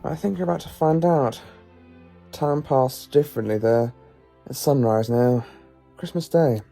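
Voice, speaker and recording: Actor as commanding professor, bright reverberant voice. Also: male voice, medium pitch, a little slow, vocal fry. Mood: gentle. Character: commanding, clever professor, weary rescuer of others. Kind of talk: audio drama acting. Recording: long reverb with music behind. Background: music.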